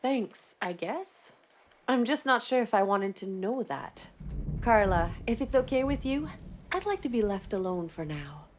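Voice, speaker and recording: A woman's voice: medium pitch, lively intonation, unhurried, slight background noise, natural voice. A woman voicing a conflicted, emotionally wounded young woman in a video game. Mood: withdrawn, guarded. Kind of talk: video game dialogue acting.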